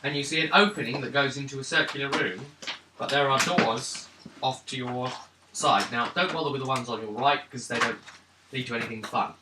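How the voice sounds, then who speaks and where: A man running a tabletop role-playing game as game master, describing scenes haltingly between bits of casual table talk. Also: mid-range voice. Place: gaming table in an echoey room. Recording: roomy, distant mic, faint noise and clicks.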